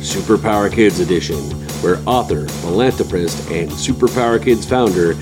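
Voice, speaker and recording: A man reading a scripted podcast introduction in a smooth, polished announcer style. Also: sits low, moderate pace. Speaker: show announcer. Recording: voice over loud guitar music.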